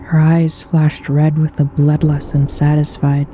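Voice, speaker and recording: A woman reading a scripted horror story aloud as narrator, in a solemn, measured storytelling manner. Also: deep voice, little pitch movement, warm tone, a little hiss or hum.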